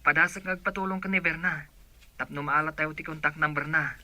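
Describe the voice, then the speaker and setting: A man's voice: fairly high pitch, fairly even intonation, a little fast, sharp tone, dry recording. A man playing an earnest, pleading young village son in a radio drama. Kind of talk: Ilocano radio drama acting.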